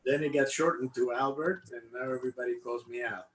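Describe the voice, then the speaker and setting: An older man from Cuba answers casual interview questions about his life, often pausing to search for his words. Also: medium pitch, fairly even intonation, a bit bright. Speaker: interviewee, congregation member. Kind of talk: casual personal interview, recollecting. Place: indoor room, online video call. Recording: echoey room, faint background noise.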